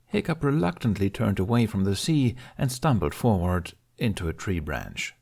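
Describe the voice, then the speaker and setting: A man's voice: on the low side, big pitch swings, moderate pace, creaky, a little mellow. A man reading scripted fantasy fiction as an audiobook narrator.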